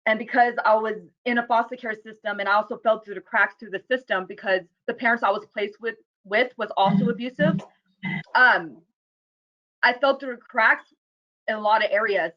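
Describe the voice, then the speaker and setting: A woman's voice: a little high, normal speed. An American woman telling her own story candidly and earnestly as an interview guest on a video call. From United States. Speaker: guest speaker. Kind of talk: personal testimony in interview. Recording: dull lo-fi video call audio.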